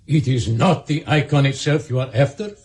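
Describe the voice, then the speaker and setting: An actor playing a deferential but shrewd senior Soviet official in a radio drama. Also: male voice, mid-range voice, normal speed, darkish tone, close to an everyday voice. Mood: shrewd, questioning.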